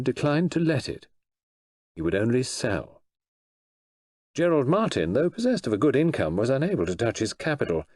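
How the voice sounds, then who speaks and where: A man reading fiction aloud as an expressive audiobook narrator. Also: medium pitch, no room sound, clean studio sound. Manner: expressive storytelling, voices the characters.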